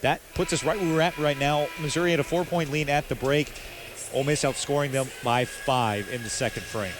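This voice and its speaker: A man's voice: a little high, fairly even intonation, a little fast. An American man giving live color commentary and analysis from a noisy arena broadcast. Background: arena ambience.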